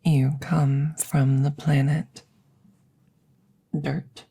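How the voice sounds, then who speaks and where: A low, very slow female alien played by a woman speaks in a soft, often whispered ASMR delivery. Her tone is mellow and breathy, with some gravel in it. She is formal and clinical, a curious examiner choosing each word with care. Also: level tone, heavily acted. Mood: clinical, hushed. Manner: formal, clinical, precise, measured phrasing. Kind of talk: ASMR audio roleplay.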